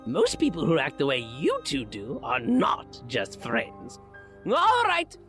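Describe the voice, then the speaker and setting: A woman voicing a brash, excitable, mischievous village shopworker in a fan audio drama. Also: low voice, slow pace, soft background music, heavily acted. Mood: knowing. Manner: exclaiming, animated. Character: bold, mischievous village dye-shop worker, brash and excitable.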